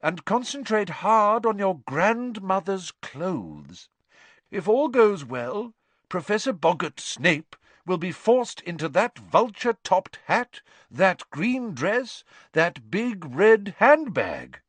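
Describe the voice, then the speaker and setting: A man voicing a kindly, cheerful male professor in a gently theatrical, encouraging manner. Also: high voice, sing-song, slow, no room sound, pitched above the performer's own voice, full character voice. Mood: cheerful teacherly. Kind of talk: audiobook character voice.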